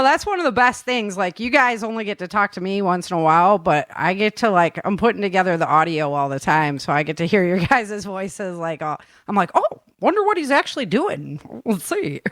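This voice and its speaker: A woman's voice: mid-range voice, a bit bright, no room sound. Chatty woman hosting, close dry sound. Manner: chatty, laughs often, acts out little quotes. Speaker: audio drama producer and show host. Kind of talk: casual chat.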